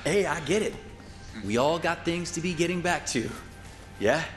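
An actor playing a flippant, streetwise survivor in a video game, talking in slangy, joking style. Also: male voice, on the high side, normal speed, soft background music, close to an everyday voice. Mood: casual. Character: wisecracking streetwise survivor, adult man, cocky and flippant. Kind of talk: video game cutscene acting.

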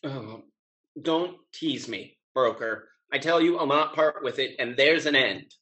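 An actor playing a carefree, impulsive young gentleman in a period comedy, with exuberant, declamatory delivery over a video call. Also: mid-range voice, measured pace. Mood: stubborn. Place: indoors at home, video call.

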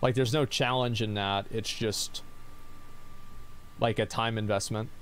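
Tired monotone man, rambling. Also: fairly low pitch, measured pace, a little hiss or hum. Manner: rambling with many fillers and restarts. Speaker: streamer. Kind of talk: casual chat reading viewer questions.

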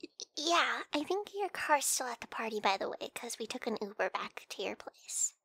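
A woman playing a flustered, hesitant young woman, stammering softly in an ASMR roleplay. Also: a little high, very animated, normal speed, no echo, exaggerated voice. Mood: sheepish, hesitant. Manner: hesitant, stammering, soft-spoken with breathy whispered moments. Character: flustered young woman, hungover friend waking up in bed.

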